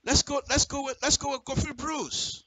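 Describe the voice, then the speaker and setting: A man's voice: very high voice, steady tone, normal speed, bright, forceful tone, no echo, low-quality recording. A man with a Liberian accent giving impassioned political commentary in direct address, emphatic and repeating phrases for effect. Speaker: radio commentator.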